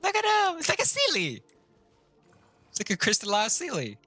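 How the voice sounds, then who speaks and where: A man streams a game with casual, reactive commentary. His pitch moves around a lot, and music plays softly behind him. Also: wildly swooping pitch, normal speed, no echo.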